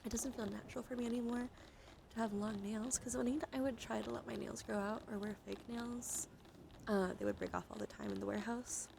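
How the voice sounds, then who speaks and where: Soft whispery ASMR woman, creaky voice. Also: fairly high pitch, steady tone, a little fast, noisy background. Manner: soft-spoken, soothing, meandering with gentle repetition. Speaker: ASMR live streamer. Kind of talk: intimate ASMR, partly whispered, chatting to viewers.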